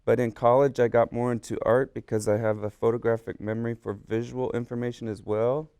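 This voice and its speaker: A man's voice: medium pitch, flat delivery, fairly quick, warm tone, clear, resonant tone, dry recording. A man whose first language was Korean, a guest artist and musician in a radio studio, telling his story in a rambling, eager way. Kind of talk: casual interview chat.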